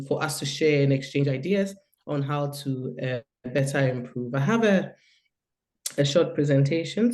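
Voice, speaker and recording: A woman's voice: low-pitched, a little mellow. Woman w a cold, kinda nasal, talking over a video call, lots of ums. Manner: hesitant, many fillers. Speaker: guest speaker. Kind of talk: online presentation.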